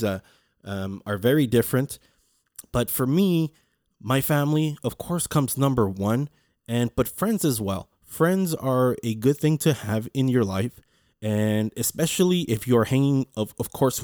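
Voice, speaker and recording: A man hosting his own podcast with a deep voice, talking earnestly in a rambling, reflective way, often repeating words and restarting his sentences. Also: darkish tone, no echo, clean studio sound. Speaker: podcast host. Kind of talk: casual reflective monologue.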